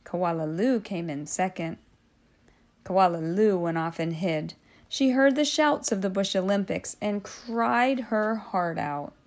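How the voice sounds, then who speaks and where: A woman reading a children's storybook aloud expressively, drawing out phrases with dramatic hushes and swells. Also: mid-range voice, takes it slowly. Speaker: storybook reader.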